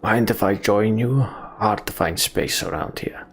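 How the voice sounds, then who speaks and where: A calm, curious traveler in a fantasy game, read out by a man. The voice is fairly low and creaky, asking questions in a measured way. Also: a little hiss or hum.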